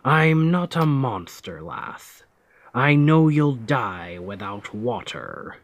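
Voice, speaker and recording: Man acting a slow-talking, stern pirate captain. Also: sing-song. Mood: stern, pragmatic. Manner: slow, deliberate, authoritative, phrases trailing low. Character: stern, commanding male pirate captain, gruffly protective. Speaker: ASMR roleplay voice actor. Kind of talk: ASMR roleplay acting.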